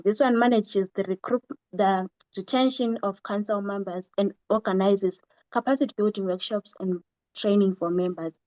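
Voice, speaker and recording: A woman's voice: mid-range voice, a little mellow, dry recording, muffled recording. A young woman with a Zimbabwean accent giving a formal, point-by-point presentation as a student coordinator on an online call. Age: young adult. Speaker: regional coordinator of a student association. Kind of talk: prepared presentation on an online meeting. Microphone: online call microphone.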